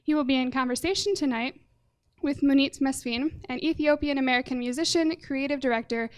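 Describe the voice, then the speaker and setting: High-voiced woman reading an introduction. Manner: measured, formal, jokes about pronunciation. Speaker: event host introducing a guest.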